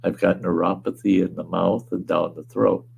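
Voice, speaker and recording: A man hosting a casual livestream from home, hoarse with a weakened voice, rambling in a laid-back way and chuckling often. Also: low-pitched, level tone, moderate pace, darkish tone. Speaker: livestream host. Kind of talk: casual livestream chat reading viewer comments.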